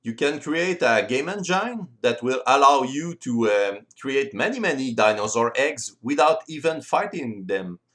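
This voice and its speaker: Male game-rules presenter, native French speaker with French accent, explanatory delivery, slightly halting phrasing, mid-range voice. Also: medium pitch, animated, fairly bright tone. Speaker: board game designer and presenter. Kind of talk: explanatory tutorial voice-over.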